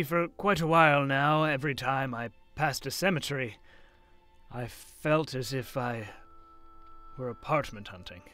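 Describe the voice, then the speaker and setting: A man's voice: medium pitch, pushed and bright. A man playing an elderly history professor in an audio drama, wistful, wondering and hesitant. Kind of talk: film-adaptation audio drama acting.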